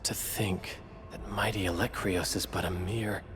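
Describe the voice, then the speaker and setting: An actor voicing a mild, trusting video game hero with a reserved, questioning, understated manner. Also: male voice, low voice, steady tone, measured pace. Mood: curious.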